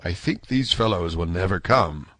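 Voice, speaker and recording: A man voicing a gentlemanly musketeer in an audiobook, self-possessed and stately, with formal courtesy. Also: deep voice, animated, normal speed, no echo, voice pushed down. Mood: gallant, musing. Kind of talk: audiobook character acting.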